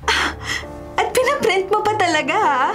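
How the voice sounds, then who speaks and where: A woman playing a chirpy, frank young student friend in a Filipino radio drama, lively and playful. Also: very high-pitched, normal speed, faint music behind. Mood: playful, excited.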